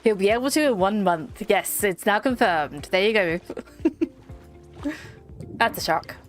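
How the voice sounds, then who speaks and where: A woman's voice: mid-range voice, expressive, unhurried, faint music behind. A woman streaming a game, chatting casually with her viewers and laughing often, her voice worn by a slight cold. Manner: giggly, chatty, talks to chat by name. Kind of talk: casual live-stream chat.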